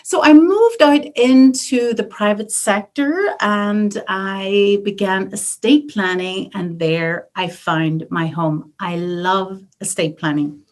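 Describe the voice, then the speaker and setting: A middle-aged woman from Northern Ireland with a Northern Irish accent, an estate planning attorney giving a warm, effusive library talk. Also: medium pitch, slow, hardly any pauses. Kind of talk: library presentation.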